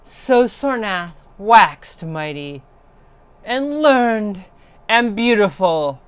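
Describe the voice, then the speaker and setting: A woman reading a literary horror story aloud in a solemn, deliberate, dramatic storytelling style. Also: on the low side, smooth, no room sound.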